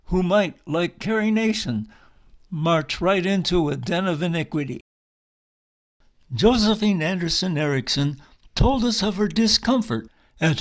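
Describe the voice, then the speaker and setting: A man narrating local history as a storyteller, in measured phrases. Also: on the high side. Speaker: tour narrator.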